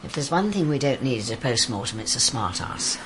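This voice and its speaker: A woman acting a dry, witty police pathologist in a radio drama, with a deadpan, literate delivery. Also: extremely low pitch, fairly quick, noisy background. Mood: dry, wry.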